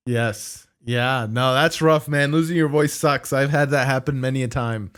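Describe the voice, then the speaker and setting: An easygoing man co-hosting a laid-back chat while watching anime. He talks loosely, tossing in yeah and man. His pitch sits in an ordinary middle range. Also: fairly even intonation, moderate pace, fairly bright tone, smooth. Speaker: co-host. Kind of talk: casual reaction chat.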